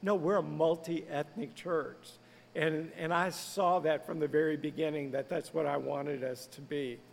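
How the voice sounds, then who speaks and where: A man's voice: fairly high pitch, steady tone, measured pace, creaky, big-room sound, a little hiss or hum. A man who is a pastor, speaking earnestly and conversationally in a podcast interview. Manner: conversational, earnest, lightly laughing.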